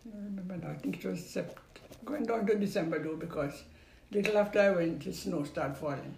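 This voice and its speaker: Older Jamaican woman with a gravelly voice. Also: on the low side, mellow. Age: 80s. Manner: unhurried, repeats phrases, trails off. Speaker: interviewee. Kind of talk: casual recollection. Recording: roomy recording with faint background noise.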